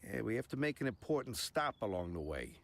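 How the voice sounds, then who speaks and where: A man's voice: fairly low pitch, big pitch swings, fairly quick, gravelly. An actor playing a tight-lipped, evasive male driver in video game dialogue. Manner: terse, evasive.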